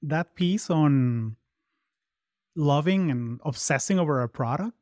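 A male podcast host interviews a guest, enthusiastic and generous with praise. His voice sits a little high for a man. The recording is dry and close. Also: expressive, slow, darkish tone, no echo. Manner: enthusiastic, complimentary. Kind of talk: conversational interview.

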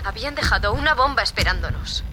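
An actress playing a composed maintenance worker recounting an incident in a measured recorded-diary monologue, with noise behind. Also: female voice, fairly high pitch, lively intonation, close to an everyday voice. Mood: grave, matter-of-fact.

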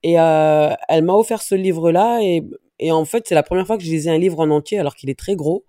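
Halting, mellow-voiced French woman. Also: sits low, no echo, clean studio sound. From France. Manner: halting, searches for words, many restarts. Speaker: interview guest, dance teacher. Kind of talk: personal testimony in an interview.

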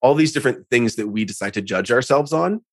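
Man, animated, conversational, laughs often, video call. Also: mid-range voice, fairly quick, slightly rough. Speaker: interview guest, on-camera coach. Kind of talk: casual video call interview.

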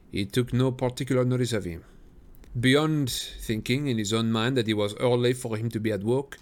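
A man reading aloud and voicing a male police inspector in a steady, matter-of-fact way. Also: mid-range voice, close to an everyday voice.

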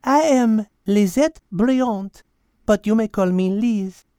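A man's voice: high-pitched, slow pace, dark tone, clear, resonant tone, no room sound, clean studio sound, pitched above the performer's own voice. A man voicing an elegant, intellectual female French cat with a French accent, amused and gracious, in an audiobook.

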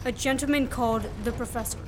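Woman playing a wry fugitive man, high-voiced. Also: fairly even intonation, fast talker, faint music behind, natural voice. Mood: wry, indignant. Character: wry man on the run, falsely accused, quick-witted. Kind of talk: radio play acting. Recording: noisy student radio play recording.

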